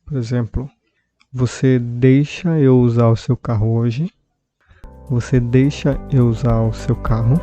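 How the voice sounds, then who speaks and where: A man with a Brazilian Portuguese accent, hosting a podcast language lesson and explaining verbs calmly and patiently, repeating example sentences. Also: medium pitch, unhurried, faint music behind. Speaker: podcast host and language teacher.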